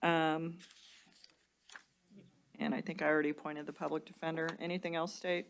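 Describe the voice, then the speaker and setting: A woman judge running bond hearings, reading charges and setting bonds in a brisk, procedural way. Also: deep voice, little pitch movement, a little hiss or hum.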